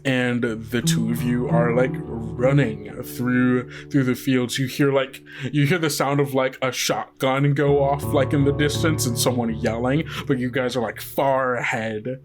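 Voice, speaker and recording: Man improvising scenes over loud guitar music. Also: medium pitch, level tone. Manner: halting, conversational, piecing images together aloud. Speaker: game master of an actual-play podcast. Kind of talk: improvised tabletop scene narration. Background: plucked guitar music.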